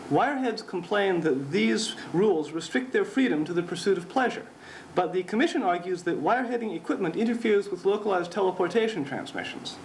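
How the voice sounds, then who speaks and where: A man playing a deadpan news anchor of the future, reading a humorous newscast in a measured, mock-serious way. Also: sits high, poor audio. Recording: faint noise, amateur 1970s recording.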